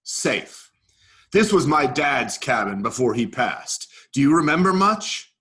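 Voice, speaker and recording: A man's voice: medium pitch, sing-song, measured pace, pushed and bright. A man in a staged play reading, playing a macho G.I. Joe soldier doll, cocky and protective, with tough-guy endearments. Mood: cocky, terse.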